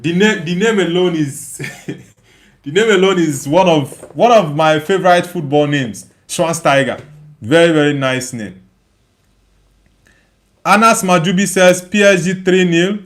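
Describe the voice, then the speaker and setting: A man's voice: fairly high pitch, unhurried, pauses often. A man hosting a casual livestream, reading out viewer comments and replying in an emphatic way, often repeating phrases as he thinks aloud. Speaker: livestream host.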